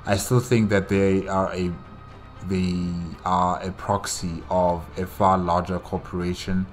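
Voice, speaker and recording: A man with a deep, fairly monotone voice talks through his reaction as a solo host. He speaks casually and haltingly, often pausing to find the right word. Faint music plays behind him. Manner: halting, pausing to search for words.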